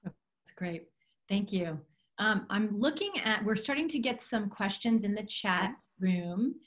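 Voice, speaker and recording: A woman's voice: mid-range voice, expressive, a little slow, fairly bright tone. A woman moderating over a video call, politely reading out audience questions from the chat. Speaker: event moderator.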